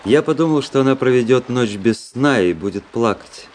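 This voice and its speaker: An actor playing a cynical, proud young officer who narrates his own story in a measured, literary radio play style. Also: medium pitch, animated, noisy background, lightly acted. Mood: brooding, self-satisfied.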